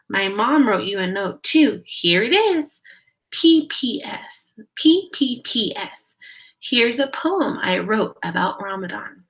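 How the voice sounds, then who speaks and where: Woman playing a young schoolgirl, storybook reading, earnest, sing-song, unhurried, lifted pitch. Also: fairly bright tone, full character voice, low-quality recording. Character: earnest young schoolgirl sharing her notes and poem.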